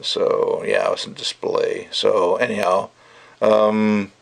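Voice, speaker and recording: A man's voice: on the low side, little pitch movement, takes it slowly, creaky. A man giving a casual, rambling product review in an opinionated, emphatic way with conversational asides. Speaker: gadget reviewer.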